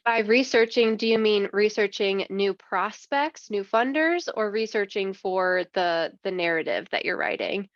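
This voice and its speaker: A woman's voice: medium pitch, unhurried, few pauses. A woman moderates a panel over a video call and asks her questions in an encouraging way. The sound is dry with no room echo and comes through dull and muffled.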